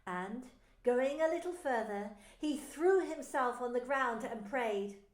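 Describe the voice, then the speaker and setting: A woman narrating a dramatised Bible story in scripted, expressive storytelling. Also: on the high side, takes it slowly. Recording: echoey room with faint music.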